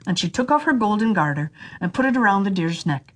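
A woman narrating a fairy tale for children in an expressive, deliberate storytelling manner. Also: medium pitch.

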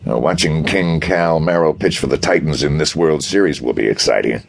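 A man voicing a wealthy, lazily amused gentleman adventurer with a gravelly voice and a wry, bantering manner, in a pulp detective audiobook. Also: very deep voice, normal speed, full character voice. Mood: casual.

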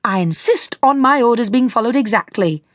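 A shrill, blustering old shoemaker who finds fault with everything, as a woman puts him on. He rants in a high, nagging voice and scolds everyone near him. The storybook reading sounds close and dry. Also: expressive, moderate pace, no room sound, lightly acted. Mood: ranting. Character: grumpy fault-finding old male shoemaker.

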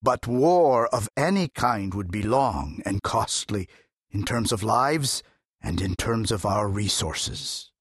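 An actor playing a dignified older duke, speaking as a cautious leader in a formal, solemn manner, in an audiobook. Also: male voice, on the low side, animated, takes it slowly, breathy edge, no echo, clean studio sound, exaggerated voice. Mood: solemn warning. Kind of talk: full-cast audiobook character acting.

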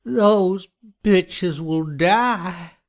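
A man voicing a deranged, sadistic hitchhiker killer in a horror story, taunting slowly and gloating. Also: high voice, voice pushed up, full character voice. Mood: violent.

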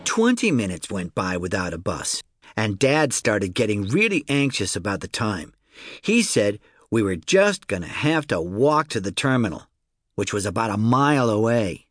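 Lively male children's audiobook narrator, dry studio sound. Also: on the low side, normal speed, no echo. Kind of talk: scripted first-person children's story narration.

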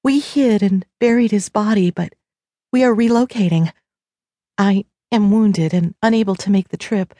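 A narrator plays a sad deer shifter woman in an audiobook. Her voice is raised well above the narrator's own, earnest, halting and deferential, in a dry recording. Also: fairly high pitch, expressive, vocal fry, dark tone, husky, no echo, pitched above the performer's own voice, heavily acted. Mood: tearful, deferential.

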